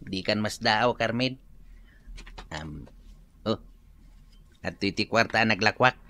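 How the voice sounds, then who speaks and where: A man's voice: fairly low pitch, slow pace, bright, forceful tone, slight background noise. A man with a Filipino accent playing an easygoing, eager working husband in a radio comedy drama. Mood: casual, assured.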